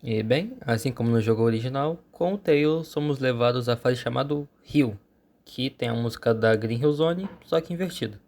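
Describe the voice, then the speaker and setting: A man's voice: mid-range voice, normal speed, darkish tone. A man with a Brazilian accent telling a story as a channel host, in an informal scripted narration.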